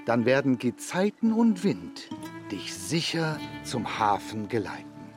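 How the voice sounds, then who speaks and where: A man's voice: medium pitch, big pitch swings, takes it slowly, over music. An actor playing a timid, hesitant foster father who stammers and evades in a children's radio play. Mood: nervous, reciting.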